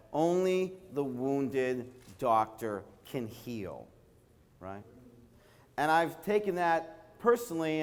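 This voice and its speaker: A middle-aged man from Canada with a Brooklyn accent, a rabbi giving an inspirational talk in a conversational, anecdotal way, often checking in with his listeners. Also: medium pitch. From Toronto, Canada. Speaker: rabbi, speaker. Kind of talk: inspirational religious talk to an audience. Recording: reverberant hall sound.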